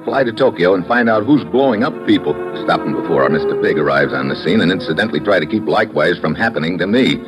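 An American actor playing a tough, wisecracking government agent in a 1950s radio adventure, wry and clipped. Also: male voice, sits low, animated, quick pace, few pauses, rough recording. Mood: hard-boiled narrating. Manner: hard-boiled, wry, clipped slangy talk. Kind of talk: 1950s radio adventure acting, narration and dialogue. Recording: old 1950s radio recording with music.

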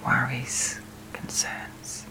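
A woman leads a guided sleep meditation in a soft, breathy, near-whispered voice, soothing, with long pauses between phrases. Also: low-quality recording. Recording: steady storm and rain noise. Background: rain and storm.

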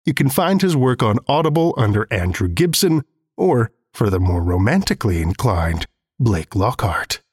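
A man's voice: mid-range voice, sing-song, normal speed, breathy edge, no echo, clean studio sound. A man hosting a horror fiction podcast, reading a script in a theatrical, playfully ominous manner.

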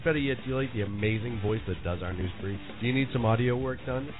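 A man's voice: mid-range voice, fast talker. A man hosting a radio show, reading enthusiastic promotional spots. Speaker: radio host. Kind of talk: promo read. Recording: tinny audio over clear music.

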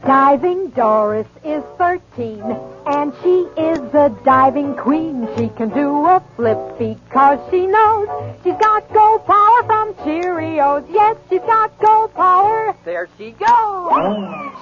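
A woman's voice: very high-pitched, sing-song, slow. A woman singing a cheery, sing-song cereal jingle in an old radio commercial, on a dull old broadcast recording with music. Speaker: jingle singer.

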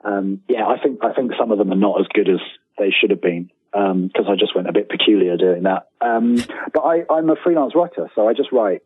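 Husky low-voiced man on a tinny line. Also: fairly quick. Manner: rambling, self-deprecating, trailing restarts and quoted asides. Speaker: interview guest, freelance writer. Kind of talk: casual interview chat. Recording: tinny phone-like line with room echo.

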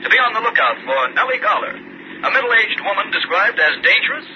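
Brief: formal, urgent 1950s newsreader in a radio play, high voice, noisy old broadcast sound. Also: male voice, very animated, brisk, muffled recording. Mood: grave. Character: grave radio newsreader issuing a police bulletin. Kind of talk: 1950s radio news announcing.

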